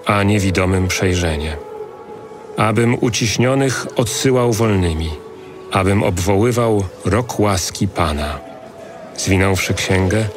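A man narrating scripture as a scripted audio drama, solemn and deliberate in his delivery. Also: on the low side. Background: music.

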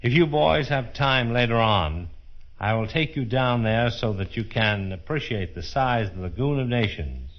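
An American man speaking as a tour guide in a scripted 1930s radio presentation, formal, measured and declamatory. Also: mid-range voice, lively intonation. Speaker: fair official acting as tour guide. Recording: dull, muffled 1930s radio broadcast.

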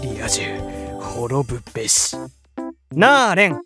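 A man playing a sulky, envious high school boy in a comic anime audio drama, whiny and melodramatic. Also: big pitch swings, slow pace, over loud music. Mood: bitter.

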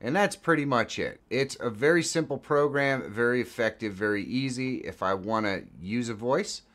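A man in his fifties with an American accent, giving a casual software tutorial in a plain-spoken, conversational way. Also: mid-range voice, normal speed. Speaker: tutorial presenter. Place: small indoor home studio.

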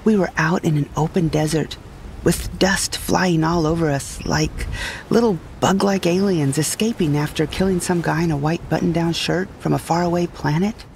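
A woman's voice: on the low side, fairly even intonation, normal speed, creaky, darkish tone, a little hiss or hum. A woman playing a wry, curious retired space heroine who narrates a comic sci-fi story with dramatic flair.

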